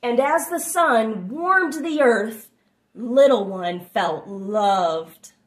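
A woman reads a picture book aloud to children, with small asides. Her voice is fairly high and very animated in pitch. She takes her time, drawing out phrases for dramatic effect. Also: extremely slow. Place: small room at home, indoors.

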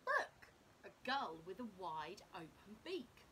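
A middle-aged woman reading a children's picture book aloud in an expressive, dramatic, deliberate manner. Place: indoor room at home. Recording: clear low background rumble noise.

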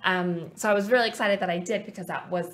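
A woman's voice: mid-range voice, steady tone, fast. Young adult woman, studio guest, earnest and reflective, casual rambling delivery. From Canada. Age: 20s. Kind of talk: casual interview chat. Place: small TV studio set. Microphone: desk mic on stand.

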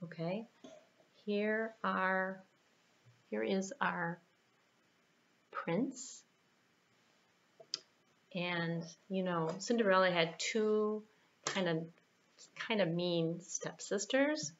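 A woman's voice: medium pitch, dull audio. A middle-aged American woman narrating a puppet show for children, with playful asides. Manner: unhurried, animated, playful asides. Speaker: library puppeteer and storyteller. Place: small indoor room.

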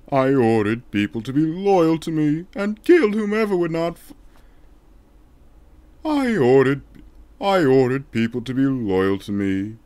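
A man's voice: fairly high pitch, a little mellow, no echo, pitched above the performer's own voice. A man voicing a solemn, remorseful king in a declamatory, dramatic way for a video game fan dub.

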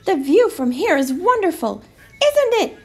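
Woman playing a little male ship's mouse, high squeaky voice, proud, excitable, easily frightened, animated storybook character. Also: unhurried, soft background music, heavily acted. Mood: cheerful. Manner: excitable, exclamatory. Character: small proud male ship's mouse, boastful but nervous.

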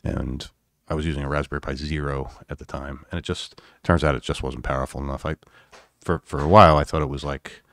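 A man giving a casual, unscripted electronics demo in a halting, self-deprecating way with wry humor. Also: a bass voice, fairly even intonation, a bit bright, no echo. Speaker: electronics hobbyist presenter. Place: indoors at a home workbench.

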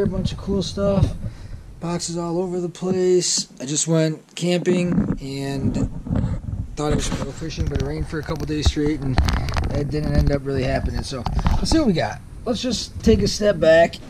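Easygoing garage vlogger, man, 30s, talks off the cuff into a body cam with packaging noise all around. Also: mid-range voice. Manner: rambling, self-correcting, easygoing. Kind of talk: casual unboxing chat. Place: home garage, seated on a boat. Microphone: wearable action camera. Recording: packaging crackle over voice. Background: crinkling packaging.

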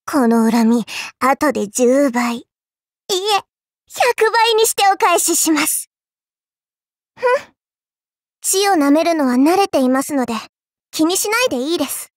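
Very high, mischievous little girl villainess. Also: expressive, a little slow, long pauses, no room sound, studio quality. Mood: vengeful, defiant. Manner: coy, polite, playfully menacing. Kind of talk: Japanese mobile game character voice lines.

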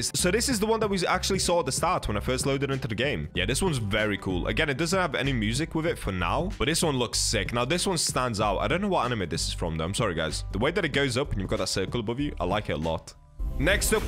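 A man's voice: mid-range voice, fairly bright tone. A man hosting a gaming video, giving enthusiastic, informal showcase commentary full of exclamations. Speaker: host of a gaming video channel. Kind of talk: excited gameplay showcase commentary.

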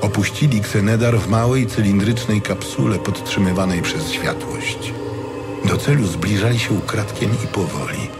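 A man reading scripted dramatic fantasy narration in a measured, solemn storytelling manner. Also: on the low side, over loud music. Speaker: audiobook narrator.

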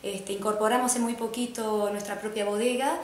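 A middle-aged woman, presenting an inn as its manager in a measured, slightly hesitant, informative way. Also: a little high, fairly even intonation. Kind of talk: interview presentation to camera. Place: indoor living room. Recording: echoey room with faint noise.

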